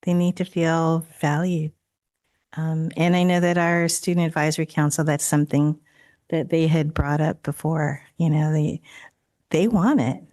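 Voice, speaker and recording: A woman raised in Daly City whose first language was not English, speaking as a school board trustee at a meeting, with a halting delivery full of fillers and restarts. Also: sits low, level tone, dark tone. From Daly City, California. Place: district office board room.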